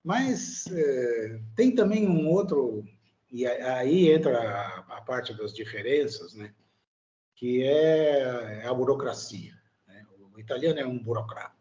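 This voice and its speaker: A man's voice: medium pitch, lively intonation, takes it slowly, mellow, lo-fi sound. A Brazilian man, a guest in a casual interview, talking hesitantly with many fillers and tag questions. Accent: Brazilian Portuguese. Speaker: guest, immigrant living in Italy.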